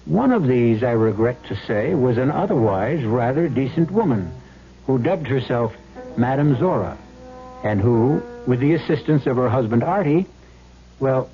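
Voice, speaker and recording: A man hosting an old-time radio mystery show, narrating in a measured, solemn, dramatic storytelling manner. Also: medium pitch, expressive, soft background music. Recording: muffled old radio recording.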